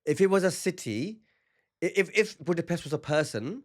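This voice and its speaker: A man's voice: a little high, animated, normal speed. A man hosting a comedy podcast, chatting casually in a playful, teasing, boastful way. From England. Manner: playful, teasing, self-aggrandizing. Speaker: podcast host, stand-up comedian.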